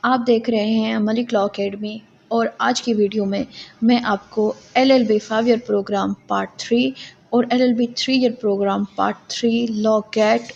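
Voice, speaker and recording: A woman's voice: on the high side, creaky, a little mellow. A woman with a Pakistani accent giving a law lecture, reading out legal text and explaining it in an instructional way. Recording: muffled lo-fi audio with background noise.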